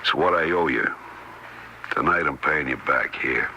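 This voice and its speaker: An actor playing a gruff, needling middle-aged card cheat with streetwise banter in a radio drama. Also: male voice, extremely low pitch, unhurried, bright, forceful tone, exaggerated voice. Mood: subdued, confessional. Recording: noisy old radio drama recording.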